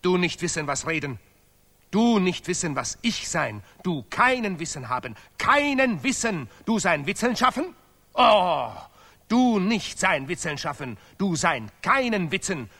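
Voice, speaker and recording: A vain, pompous artist declaims in a stylized radio play. His voice is high and bright, with big swings of pitch. He hammers out broken, repeated phrases with great self-importance. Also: big pitch swings, a little slow, heavily acted. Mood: scornful. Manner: declamatory, emphatic, chanting broken German with repetitions. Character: pompous male Nobel laureate artist, vain and touchy. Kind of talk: stylized avant-garde radio play acting.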